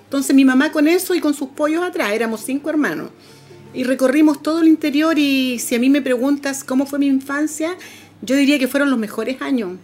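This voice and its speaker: Middle-aged woman, lively storyteller. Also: high voice, brisk, faint music behind. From Camiña, northern Chile. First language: Aymara. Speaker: Aymara language teacher, interviewee. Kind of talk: personal story on a radio program.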